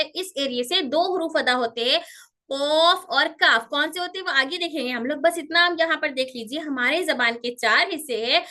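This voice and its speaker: Bright high-voiced woman teaching. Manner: patient, repeats each term with its English gloss; checks on students with questions. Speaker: teacher of Quranic pronunciation. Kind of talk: online class lecture.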